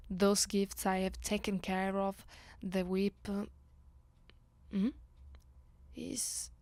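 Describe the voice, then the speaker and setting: Casting: woman as seductive aristocratic female vampire, Italian accent, unhurried commanding delivery, close dry ASMR sound. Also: medium pitch, a little mellow, no room sound. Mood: teasing, sultry. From Italy. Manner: imperious, playful, unhurried, breathing into whispers. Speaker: voice actress. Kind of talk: ASMR roleplay.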